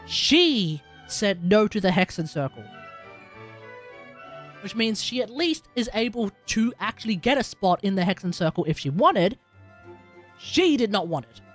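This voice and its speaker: A woman hosting a livestream with casual game commentary, thinking aloud and correcting herself as she goes. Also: medium pitch. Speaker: livestream host. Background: game music.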